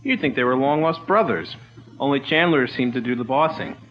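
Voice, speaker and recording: A man's voice: medium pitch, expressive, brisk, faint music behind, close to an everyday voice. A man playing an eager, loyal sidekick in a 1940s-style radio drama, talking in a conversational way. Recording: dull lo-fi recording with loud hiss.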